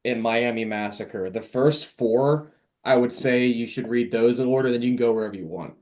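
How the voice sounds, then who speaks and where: A man's voice: mid-range voice, normal speed, a little mellow. A man talking as a podcast guest in casual, slangy, enthusiastic conversation. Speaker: podcast guest, indie author.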